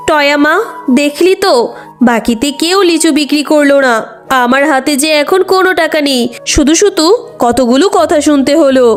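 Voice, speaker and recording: A woman's voice: high-pitched, moderate pace, lightly acted. A woman voicing a weary, poor mother fox in a cartoon, plaintive and firm, over music. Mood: dejected.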